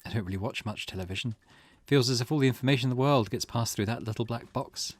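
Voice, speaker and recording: A man's voice: medium pitch, fast, no room sound, lightly acted. A man voicing a weary, grieving middle-aged mother from a visual novel, reading her old-fashioned, wistful thoughts aloud. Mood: weary, uneasy.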